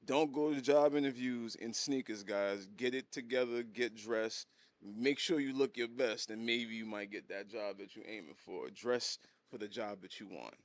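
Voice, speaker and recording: A man's voice: mid-range voice, moderate pace. A man in his thirties, born in Haiti and raised, telling his story as a podcast guest in a laid-back way, chuckling often and repeating words as he goes. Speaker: guest, hat brand designer. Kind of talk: casual interview storytelling.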